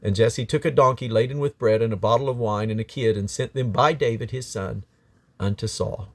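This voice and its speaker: A man's voice: mid-range voice, steady tone, brisk, no room sound. Man reading scripture aloud, dry sound. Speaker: Bible reader. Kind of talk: scripture read aloud from the King James Bible.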